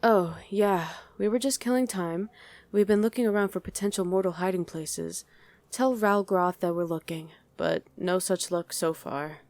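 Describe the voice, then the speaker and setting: A woman's voice: mid-range voice, normal speed, a little mellow, no room sound, close to an everyday voice. A woman voicing a condescending, sardonic female demon commander in a chatty ASMR roleplay. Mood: brisk. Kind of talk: ASMR roleplay acting addressed to the listener.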